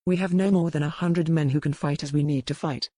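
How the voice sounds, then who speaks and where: Man playing a highish-voiced bitter medieval knight. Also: fairly even intonation, brisk, warm tone, no echo, natural voice. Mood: grave, urgent. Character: bitter, hostile, loyal medieval knight advising his lord. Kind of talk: dramatized audiobook dialogue.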